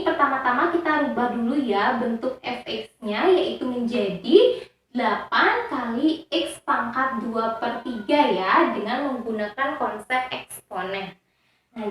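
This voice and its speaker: A woman's voice: a little high, a bit bright. A woman teacher patiently working through math steps for students, often repeating herself and checking whether they understand. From Indonesia. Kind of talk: recorded math lesson for students. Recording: roomy echo, voice from far off.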